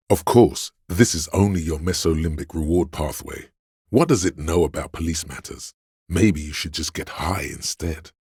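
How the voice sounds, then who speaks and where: A hedonistic voice of craving from a video game, extremely deep and smooth. He narrates and coaxes straight at the listener, sly and seductive, lingering on words. The sound is close and dry. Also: darkish tone, no room sound, exaggerated voice. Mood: urging, intrigued. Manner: smooth, insinuating, savoring words. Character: seductive, hedonistic male inner voice of addiction and craving. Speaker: video game character voice actor. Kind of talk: dramatic game narration, second-person coaxing.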